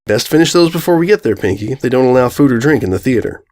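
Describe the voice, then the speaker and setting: A man's voice: mid-range voice, lively intonation, fast, a little mellow, natural voice. Man as a folksy, plain-spoken country farm mare. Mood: matter-of-fact. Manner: folksy, matter-of-fact.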